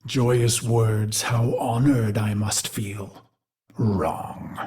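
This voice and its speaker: A man voicing a brooding warrior machine mind in a video game, recalling a brutal past in a grave, theatrical monologue with deliberate phrasing. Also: on the low side, fairly even intonation, a little mellow. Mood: grim, resolute. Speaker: game character narrator. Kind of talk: dramatic scripted game monologue.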